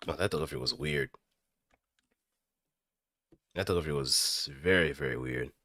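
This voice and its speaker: A man's voice: very deep voice, normal speed, sharp tone, no echo. A man chatting casually and self-critically to himself while practicing voice acting on stream. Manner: self-critical, thinking aloud. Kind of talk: casual self-directed chat.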